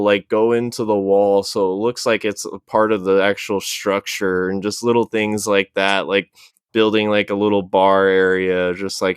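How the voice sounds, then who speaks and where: Male panel guest, deep and clear, relaxed conversational phrasing full of halting fillers. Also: no echo, studio quality. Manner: halting, filler-heavy with frequent "like". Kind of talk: casual chat.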